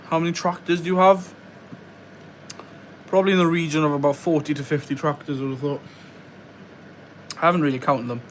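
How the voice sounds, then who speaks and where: A man's voice: fairly high pitch, steady tone, fairly quick, pauses often, a little hiss or hum. A young man from West Wales chatting casually on a live stream, reading out viewer questions and muttering to himself as he works at a bench. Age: young adult. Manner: chatty, reads viewer questions aloud and mutters while working. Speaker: livestreamer building a model farm. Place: indoor workbench.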